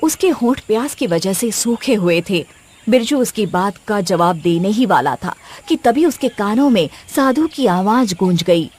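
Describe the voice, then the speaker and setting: A woman's voice: medium pitch, animated, measured pace, a little mellow, slightly rough. A woman reading scripted narration as the storyteller of a tale.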